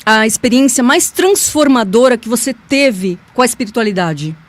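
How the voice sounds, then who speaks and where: A woman's voice: a little high, lively intonation, moderate pace. A woman hosting a podcast, asking interview questions in a brief, attentive way.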